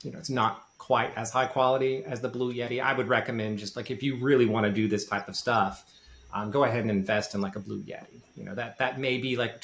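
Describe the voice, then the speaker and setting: Guy chatting through tips on a podcast, light voice not much bass, kinda roomy echo. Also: a bit bright, a little hiss or hum. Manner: informal, self-deprecating. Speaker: learning developer giving advice on a podcast. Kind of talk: casual explanatory podcast talk. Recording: roomy, echoey sound.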